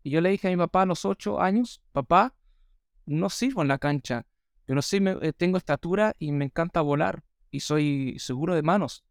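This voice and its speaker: A man's voice: a little high, moderate pace, vocal fry, dry recording, clean studio sound. Australian-born man hosting a podcast. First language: English. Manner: lively storytelling with fillers. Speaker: podcast host. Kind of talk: casual chat.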